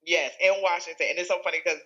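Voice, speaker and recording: A woman from Chicago with a Chicago accent, an educational leader telling stories in an interview, animated, laughing often and restarting her sentences. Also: on the low side, quick pace, dull audio. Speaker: school executive director. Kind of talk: conversational interview storytelling.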